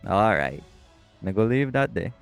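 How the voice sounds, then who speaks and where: A man's voice: on the low side, big pitch swings, unhurried, clean tone, soft background music. A man playing a teasing, easygoing shapeshifter boyfriend with a Caribbean accent in an audio roleplay. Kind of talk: scripted audio roleplay acting.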